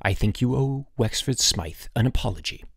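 A man's voice: medium pitch, animated, breathy edge, dry recording, studio quality. A man voicing a stern, sarcastic headmaster who scolds in snapping, emphatic phrases for an audiobook. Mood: stern scolding. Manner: snapping, clipped commands, drawn-out emphasis.

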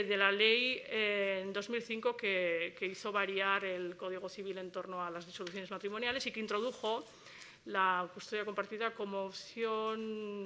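A woman presenting a research project at an academic conference, brisk, with informal asides and fillers, speaking at a table mic in a lecture hall. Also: mid-range voice, bright, forceful tone, a little hiss or hum. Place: lecture hall with a large audience.

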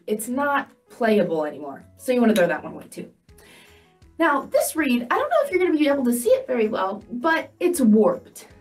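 A young woman gives a patient beginner lesson, stopping to show examples as she goes. Her voice is high and bounces up and down a lot. She sits a little back from the mic, with faint music underneath. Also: far from the mic. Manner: patient, explains slowly, holds up examples. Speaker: music teacher. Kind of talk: instructional demo for beginners. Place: studio set with banner backdrop, indoors.

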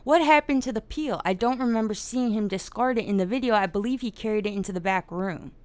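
A woman's voice: mid-range voice, quick pace, no echo. A woman hosting a casual live-streamed discussion, swearing often and laughing as she tells the story. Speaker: podcast host.

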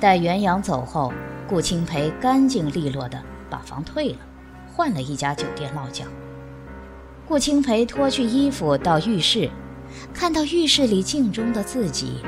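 A woman delivering scripted narration for an audio drama. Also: fairly low pitch. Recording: music under the narration. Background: music.

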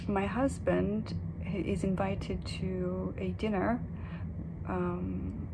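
Soft, reflective young woman vlogging. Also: mid-range voice, gravelly, very noisy. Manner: soft, reflective, hesitant with fillers. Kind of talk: casual vlog talk to camera. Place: hotel room, indoors.

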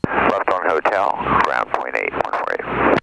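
Very gravelly low man on aviation radio. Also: big pitch swings, unhurried. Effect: aviation radio transmission. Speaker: pilot. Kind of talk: brief radio readbacks. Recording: noisy crackly aviation radio.

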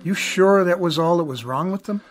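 Actor as a higher-voiced, plainspoken repairman. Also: moderate pace, soft background music, close to an everyday voice. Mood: probing. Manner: folksy, blunt, colloquial. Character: plainspoken, patient working-class male TV repairman. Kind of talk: radio drama acting.

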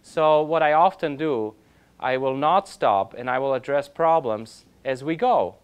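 A man in his thirties, an orchestra conductor, giving an informal lecture in a classroom, halting and restarting often but growing animated, recorded by a camera across the room. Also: on the high side. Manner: halting, many restarts and fillers, grows animated. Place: classroom with projector.

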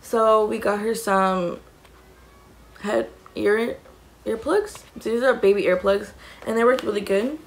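A young woman with a raspy voice, chatting casually as she shows the contents of a bag to the camera in a small room, rambling with many fillers. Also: mid-range voice, takes it slowly. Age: young adult. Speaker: mom vlogger. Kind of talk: casual show-and-tell vlog. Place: small indoor room against a plain wall.

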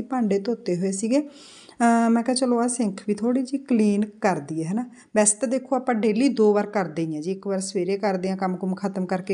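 A woman's voice: medium pitch, mellow. A woman in her forties with a Punjabi accent, chatting casually to a phone camera at home. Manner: chatty, with frequent tag questions. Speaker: vlogger. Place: home, indoors. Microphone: phone held at arm's length.

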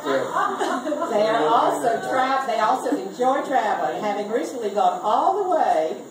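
A woman reads a humorous script aloud in an amused way, pausing deliberately between phrases. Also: fairly high pitch, animated. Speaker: family reunion speaker reading a comic Mad Lib aloud. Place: garage full of seated relatives. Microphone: camera a few meters away. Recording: distant camera mic, echoey garage, loud noise.

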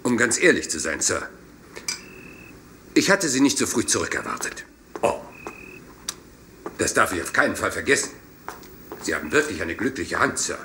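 Casting: rumpled, apologetic detective inspector, low voice swinging widely, dogged courtesy, crime radio play. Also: male voice, very animated, normal speed, pauses often, noisy background. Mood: chatty, courteous. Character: rumpled, polite, dogged male police inspector.

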